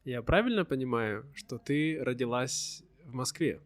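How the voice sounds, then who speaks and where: A man hosts a slowly paced interview for learners, asking simple follow-up questions in a friendly way. His voice sits at an ordinary middle pitch. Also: animated. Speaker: host. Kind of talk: slow conversational interview for learners.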